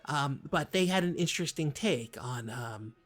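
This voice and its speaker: A man playing an eager, bumbling small-town community radio co-host who talks haltingly in a comedy show, with many ums and false starts. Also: fairly high pitch, animated, a bit bright. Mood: hesitant, earnest. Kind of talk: improvised mock public access radio chat.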